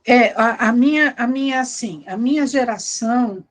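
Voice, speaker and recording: Higher-voiced middle-aged woman from Brazil. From Brazil, born in Rio, grew up in Belém, Pará. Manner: halting, repeats words, frequent tag questions. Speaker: guest academic, history and anthropology researcher. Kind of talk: conversational personal reminiscence.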